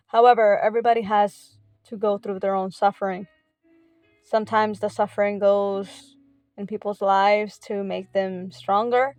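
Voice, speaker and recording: A woman speaking earnestly in a personal faith vlog, heartfelt and halting, often pausing on filler words. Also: medium pitch, dry recording. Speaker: faith vlogger.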